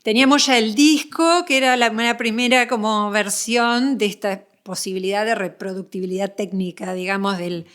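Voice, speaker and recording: A woman's voice: mid-range voice, steady tone, moderate pace, hardly any pauses, a bit bright. An Argentine woman hosting a conversational interview, thinking aloud and checking her points with short tag questions. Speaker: podcast interviewer.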